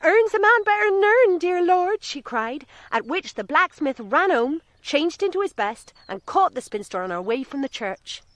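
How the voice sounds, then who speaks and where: Lively village mother, high voice swooping widely, theatrical storyteller, close dry sound, radio drama. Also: normal speed, hardly any pauses, no room sound, exaggerated voice. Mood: amused, dramatic. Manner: theatrical, relishing the tale.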